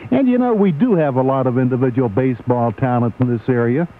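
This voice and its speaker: A man reading a scripted sports commentary on the radio with measured, emphatic announcer delivery. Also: medium pitch. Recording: tinny AM radio aircheck, faint hum.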